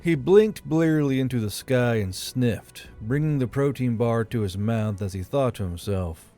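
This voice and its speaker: A man's voice: medium pitch, normal speed, mellow. A man reading military fantasy fiction as a scripted audiobook narration. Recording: music under the voice.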